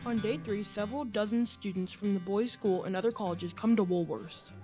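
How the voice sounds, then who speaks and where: A woman's voice: mid-range voice, a little fast, over music. A woman reading scripted narration for an amateur radio drama.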